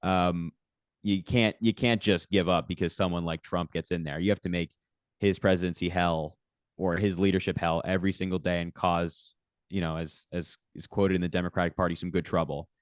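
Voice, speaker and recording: A man's voice: sits low, steady tone, moderate pace, no room sound, studio quality. A young man in his twenties who grew up in Ireland, answering interview questions earnestly with plenty of fillers. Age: 20s. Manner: earnest, many fillers like "like" and "you know". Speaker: guest, young political activist.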